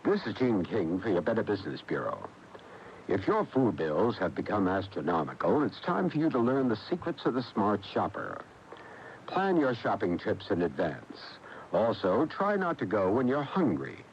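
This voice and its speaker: American man, lowish lively voice, folksy advice announcer, instructive, dull AM radio tape with faint noise. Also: normal speed. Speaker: public service announcer. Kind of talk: scripted radio advice spot. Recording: muffled, hissy AM radio broadcast tape.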